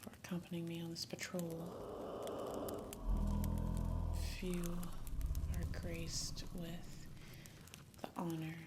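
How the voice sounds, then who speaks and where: Woman as reserved young dragon rider, breathy ASMR whisper, lowish female voice, hesitant archaic phrasing, fantasy roleplay, loud campfire ambience behind. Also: completely flat delivery, unhurried, very noisy, close to an everyday voice. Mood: grateful, soft. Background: campfire and night nature ambience.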